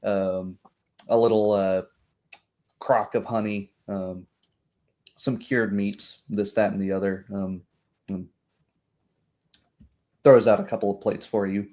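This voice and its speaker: A man narrating a tabletop roleplaying game as game master, describing things in a halting, improvised way. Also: fairly low pitch, level tone, warm tone.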